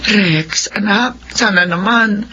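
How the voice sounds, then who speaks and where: A woman's voice: medium pitch, slow pace, pushed and bright, highs cut off, slight background noise. An actress playing a worried, long-suffering elderly mother in a Filipino radio drama, pleading and plaintive.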